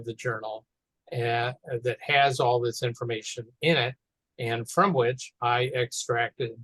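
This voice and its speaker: A man commenting casually on a teleconference, talking in a halting, rambling way. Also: mid-range voice, fairly bright tone, no room sound, rough recording. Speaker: meeting participant.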